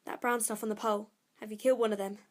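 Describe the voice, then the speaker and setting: A woman's voice: mid-range voice, little pitch movement, racing, close to an everyday voice. A female performer playing a frightened, wary young girl in an audio drama, speaking timidly and hesitantly. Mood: wary, anxious. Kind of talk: audio drama acting with first-person narration.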